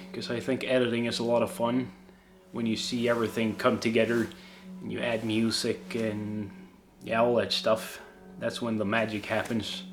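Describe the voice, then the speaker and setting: Swedish guy slowly reading viewer questions, lots of ums and restarts, quiet music under it. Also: mid-range voice. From Sweden. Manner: halting, many fillers and restarts, self-deprecating. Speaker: YouTube creator.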